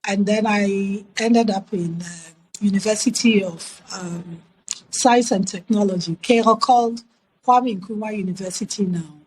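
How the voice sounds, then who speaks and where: A middle-aged woman with a Ghanaian accent, telling her life story as an interview guest in a measured, reflective way with emphatic repetition. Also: mid-range voice, darkish tone, slight background noise, low-quality recording.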